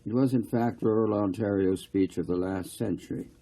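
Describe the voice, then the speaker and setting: Male author-narrator for a novel, unhurried, soft-toned and raspy. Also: lowish voice, fairly even intonation. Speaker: author reading own novel. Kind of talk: scripted audiobook narration.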